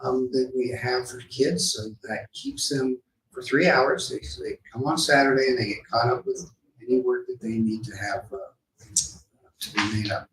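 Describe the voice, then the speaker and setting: Middle-aged man, school principal as interview guest. Hesitant delivery, mid pitch, faint noise behind him. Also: normal speed, a bit bright. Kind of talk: conversational interview answers. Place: small studio room, live recorded show. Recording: roomy, distant mic, faint background noise.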